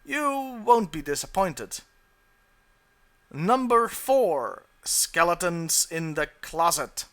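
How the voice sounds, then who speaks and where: A man's voice: sits high, very animated, takes it slowly, fairly bright tone. A man narrating a scripted countdown review as the host.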